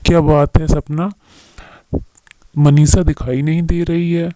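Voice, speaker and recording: A man's voice: fairly high pitch, little pitch movement, slow, dark tone, no room sound. A man voicing a stern, scolding showroom boss in a cartoon story, accusing and lecturing. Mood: inquiring. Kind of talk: Hindi moral-story cartoon dubbing.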